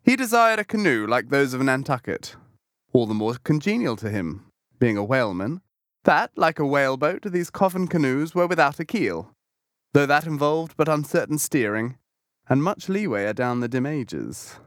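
A man reading a classic novel as an audiobook, with measured, expressive storytelling delivery. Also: mid-range voice, no echo, studio quality. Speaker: audiobook reader. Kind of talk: literary audiobook narration.

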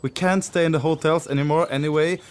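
A man playing a sensible but excitable band member in an amateur radio comedy play. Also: a little high, fast, smooth, lightly acted. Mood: chiding, sensible.

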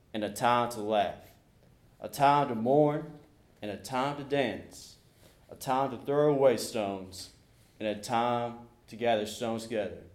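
A man reading a passage of scripture aloud in a church service, slowly and deliberately. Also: medium pitch. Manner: slow, deliberate recitation. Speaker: scripture reader. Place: church sanctuary. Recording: echoey church.

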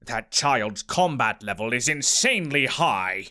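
A slow, swooping scheming professor. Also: male voice, mid-range voice, lively intonation, bright, forceful tone, pitched below the performer's own voice. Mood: grave. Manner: deliberate, dramatic, conspiratorial. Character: scheming, wine-sipping professor villain, pompous and fretful.

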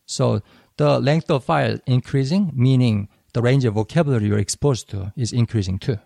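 A man whose first language is Korean talks through ideas on a podcast with a gravelly, mellow voice. He explains at a measured, slightly halting pace, recorded dry and close to the mic. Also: medium pitch, no echo. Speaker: podcast co-host. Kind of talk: casual educational discussion.

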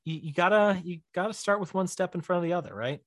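A man's voice: sits high, quick pace, fairly bright tone, dry recording, studio quality. A man talking casually as a guest in a podcast interview, explaining things chattily with plenty of asides. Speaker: interview guest, writer-director.

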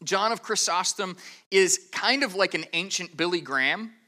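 Bright-voiced man teaching a sermon. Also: high-pitched, expressive, normal speed. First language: English. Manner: earnest, methodical teaching with occasional stammered restarts. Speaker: pastor. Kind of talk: expository sermon.

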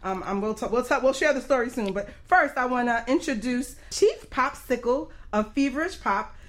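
Higher-pitched woman hosting talk radio. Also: lively intonation, moderate pace, a bit bright. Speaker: radio show host and business coach.

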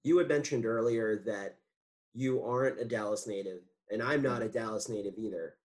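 A man hosting a podcast, asking his guest friendly, measured questions. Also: on the low side. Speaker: podcast host. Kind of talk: interview questions.

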